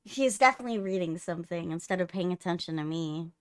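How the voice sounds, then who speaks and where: A woman's voice: fairly low pitch, animated, fast, dry recording. A woman hosting a livestream in casual chat, teasing her co-host playfully, close on the mic.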